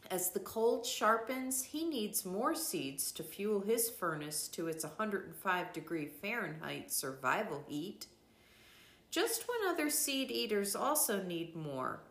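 Older female narrator for literary nature readings; unhurried, animated intonation, roomy echoing sound. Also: mid-range voice. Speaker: reader of a nature devotional book. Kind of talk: scripted narration, literary read-aloud.

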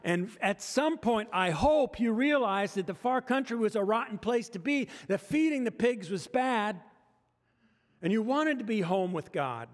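A man's voice: high voice, animated, a little slow, long reverb tail. A man with an American accent preaching a sermon as pastor, talking conversationally and joking with the congregation. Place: church sanctuary.

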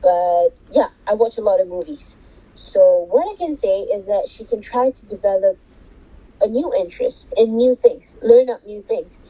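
Loud, chatty woman co-hosting over a call. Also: medium pitch, normal speed. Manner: talks loudly over a poor connection, chatty and giving advice. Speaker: podcast co-host. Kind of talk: casual chat. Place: remote call from home.

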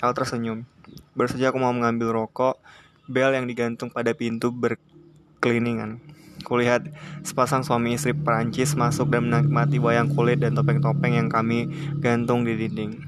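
A man reads literary first-person narration aloud in a measured, scripted way. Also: mid-range voice, completely flat delivery, soft background music. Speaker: audiobook reader.

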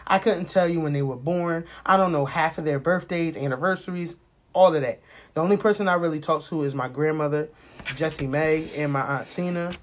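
An American podcast host in their late twenties talking casually and personally, rambling, candid and emphatic, with plenty of swearing. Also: medium pitch. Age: late 20s.

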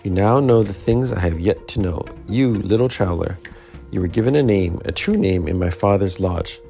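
A man voicing a quiet, proud young canoe maker who speaks softly in a storybook reading. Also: fairly high pitch, wildly swooping pitch, quick pace, vocal fry, soft background music, voice pushed up, heavily acted. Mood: tender. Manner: soft-spoken, gentle.

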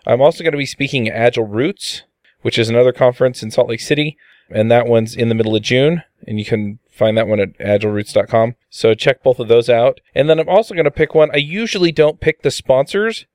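Upbeat podcast host, dry sound. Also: male voice, medium pitch, brisk, darkish tone, no echo. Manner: upbeat, moves the show along. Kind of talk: casual podcast chat.

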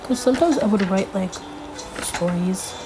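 A young woman hosting a casual solo podcast, a little congested from being sick, rambling with lots of fillers as she thinks out loud. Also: mid-range voice, moderate pace, over music. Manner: rambling, filler-heavy, thinking aloud while flipping pages. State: congested from a recent illness. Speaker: podcast host.

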